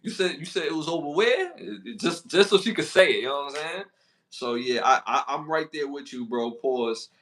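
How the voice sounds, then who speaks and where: Bright-voiced Brooklyn man chatting. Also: on the high side, normal speed, slight background noise. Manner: slangy, repeats himself, reads out list questions. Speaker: podcast co-host. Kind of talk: casual podcast chat.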